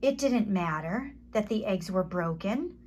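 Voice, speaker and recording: Slow, expressive woman reading a children's story. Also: mid-range voice, slightly rough. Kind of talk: children's story read-aloud.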